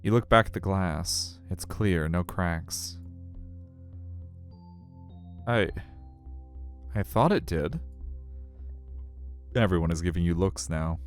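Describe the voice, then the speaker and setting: A man's voice: low-pitched, takes it slowly, long pauses, soft background music. A man, casually commenting on and narrating a game in an informal, rambling way. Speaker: streamer reading a visual novel.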